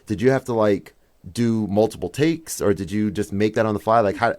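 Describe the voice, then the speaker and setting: A man's voice: lowish voice, a little fast, a little mellow. A man hosting a podcast interview, talking with enthusiasm and affirming his guest often. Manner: enthusiastic, affirming with frequent mm-hmms. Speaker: host.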